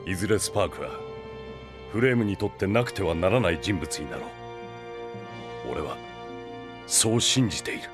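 Deep, commanding king. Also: expressive, normal speed, pauses often, over music. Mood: solemn, reflective. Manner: grave, authoritative. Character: commanding, thoughtful king recounting his past. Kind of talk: anime audio drama storytelling.

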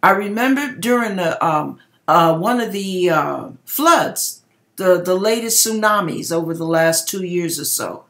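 A woman's voice: lowish voice, animated, a little slow, fairly bright tone. A middle-aged woman telling stories in a theatrical, emphatic way, as an online host doing dramatic readings and commentary in her kitchen. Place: home kitchen, indoors. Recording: echoey kitchen room sound.

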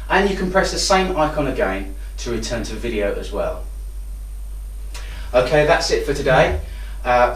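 A man giving an instructional product demonstration. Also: medium pitch. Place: indoor room with hard walls. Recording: roomy echo, distant microphone.

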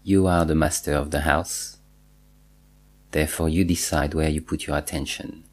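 A man guiding a body scan meditation, giving measured instructions in short phrases with pauses and repetition. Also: a bass voice, steady tone. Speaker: meditation teacher.

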